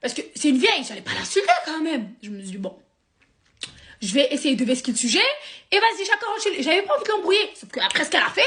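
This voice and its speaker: A young woman from France telling a sarcastic, animated story straight to her viewers. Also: high-pitched, quick pace. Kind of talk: casual storytime monologue.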